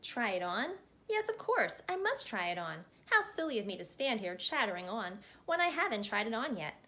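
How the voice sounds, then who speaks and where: A woman voicing an excitable storybook chatterbox man who rambles on breathlessly and keeps interrupting himself. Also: on the high side, moderate pace, full character voice. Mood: excited, gushing.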